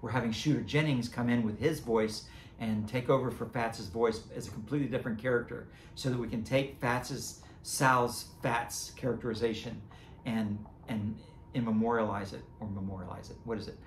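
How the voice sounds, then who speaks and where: Hoarse male vlogger, rambling and self-correcting, mid-range pitch, in an echoey room with faint music behind. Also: fairly even intonation, moderate pace, slight background noise. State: losing his voice, cracking. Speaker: creator and seller of animatronic band items. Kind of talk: casual unboxing vlog chat.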